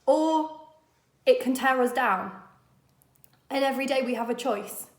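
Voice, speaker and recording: A woman with a somewhat high voice, husky after a cold, talks straight to her viewers as a coach. She is chatty and encouraging. The room around her rings with echo. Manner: chatty and encouraging, addresses viewers warmly as "my loves". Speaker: confidence coach. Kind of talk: casual direct-to-camera advice.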